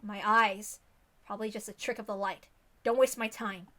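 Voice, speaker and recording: A woman's voice: mid-range voice, steady tone, normal speed, fairly bright tone, natural voice. A woman voicing a teenage boy consumed by murderous rage in an intense, dramatic visual novel monologue. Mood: irritated.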